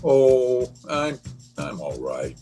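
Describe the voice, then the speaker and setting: A man's voice: mid-range voice, very animated, extremely slow, warm tone, soft background music. A man playing a nervous, guilt-ridden husband, tremulous and brooding, in 1930s radio melodrama style. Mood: uneasy brooding. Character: nervous, guilt-ridden husband who killed his wife.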